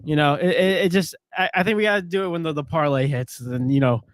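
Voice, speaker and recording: Higher-pitched man bantering on a podcast. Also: fast talker, no room sound, clean studio sound. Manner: joking, rambling storyteller. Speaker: podcast co-host. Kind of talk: casual sports chat with joking banter.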